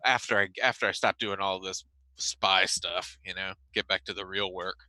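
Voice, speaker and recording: A man's voice: low-pitched, steady tone, moderate pace, bright, forceful tone, dry recording, rough recording. A man playing in a tabletop game, chatting casually and joking as he builds his character. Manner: jokey, rambling, laughs often. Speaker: player.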